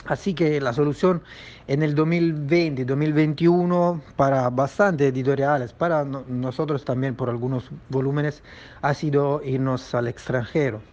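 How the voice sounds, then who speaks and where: An Italian man with a clear Italian accent talks through his answer haltingly, circling back with fillers and false starts. His pitch sits in the middle range. The audio is dull and lo-fi, like a remote recording. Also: steady tone, a little mellow. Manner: hesitant, many fillers and restarts. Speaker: comics publisher. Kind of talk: remote podcast interview answer.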